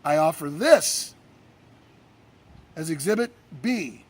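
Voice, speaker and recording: A man with a gravelly voice reading a scripted monologue as theatrical courtroom oratory, declamatory and emphatic, with dramatic pauses. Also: a little high, lively intonation. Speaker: writer reading own essay.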